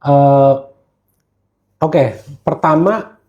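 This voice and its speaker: A mellow-voiced Indonesian man speaks as a podcast guest. His pitch sits a little high for a man. He talks in a casual, slangy way and drops English phrases into his sentences. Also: drawn-out words, muffled recording. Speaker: guest gemologist who hosts live streams.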